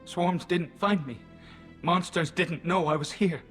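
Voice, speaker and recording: Young alien refugee, fairly high voice, thin, shaky, delirious, fearful, fragmented speech, game dialogue, faint music. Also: male voice, a little slow, fairly bright tone. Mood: haunted, fearful. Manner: broken, repetitive clipped fragments. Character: delirious, frightened young male alien pilgrim hiding from monsters. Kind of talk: video game voice acting.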